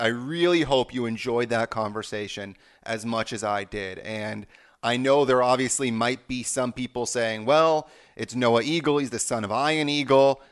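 A man's voice: medium pitch, fairly bright tone. Effusive male podcast host. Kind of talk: sports podcast interview and sign-off.

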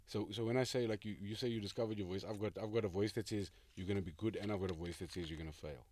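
Low-voiced male podcast host, probing and reflective, close dry studio mic. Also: fairly even intonation, darkish tone, dry recording. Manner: probing, asks reflective questions, halting restarts. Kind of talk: casual podcast conversation. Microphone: studio microphone.

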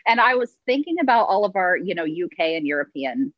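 A woman's voice: lowish voice, sing-song, brisk, no room sound, lo-fi sound. A woman co-hosting a podcast in a playful, laughing casual chat. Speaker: podcast co-host.

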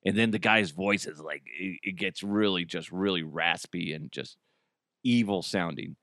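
Hoarse, raspy-voiced man riffing. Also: low-pitched, moderate pace, a bit bright, no room sound, studio quality. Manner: rambling, laughs at his own jokes. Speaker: podcast host. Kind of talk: casual comedic commentary.

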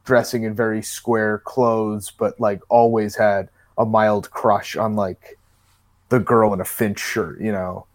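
Um homem convidado de um podcast, falando de forma descontraída e autodepreciativa. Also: male voice, fairly low pitch, level tone, slow. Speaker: podcast guest. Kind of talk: casual podcast chat.

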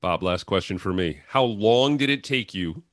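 Lowish-voiced man hosting a sports interview. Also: animated, normal speed, a bit bright. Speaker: podcast host.

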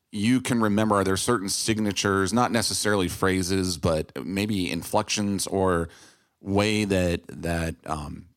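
A man with a very low voice speaks as a co-host in a casual interview. He is reflective and somewhat halting, building long, wordy thoughts as he goes.

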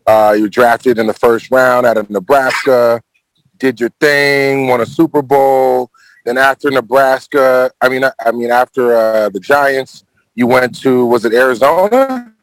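A man's voice: medium pitch, measured pace, no room sound, rough recording. A man with a mid-range voice co-hosts a podcast, feeling his way into each question and repeating words as he goes. His voice is recorded dry and close. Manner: hesitant, repeats words while framing questions. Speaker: podcast co-host. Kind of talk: casual interview questions.

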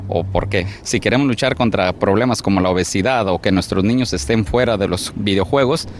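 A man's voice: lowish voice, a little fast, slight background noise. A man speaking unscripted in an interview, making an earnest, pleading appeal as a community member.

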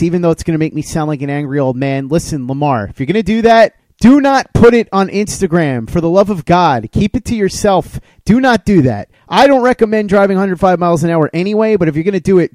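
Male sports podcast host, fairly high-pitched, interview style, very dry recording. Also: animated, brisk, few pauses, a little mellow, dry recording.

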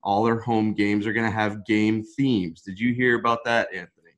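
A man's voice: on the low side, fairly even intonation, moderate pace, a bit bright. A man hosting a casual sports talk show, upbeat and steering the conversation with questions. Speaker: podcast host.

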